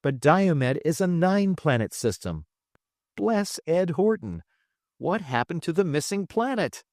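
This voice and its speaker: A man's voice: a little high, sing-song, measured pace, darkish tone, dry recording, clean studio sound, pitched above the performer's own voice. A man voicing a triumphant, boastful male space trader in an audiobook, grandiose and teasing as he lectures. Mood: gleeful lecturing. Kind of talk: audiobook character dialogue.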